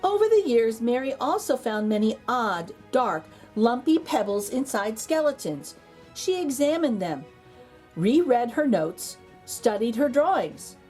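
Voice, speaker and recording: A woman reading a children's picture book aloud as a storytime host, theatrically, with dramatic pauses and emphasis. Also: on the high side, sing-song, mellow, faint music behind.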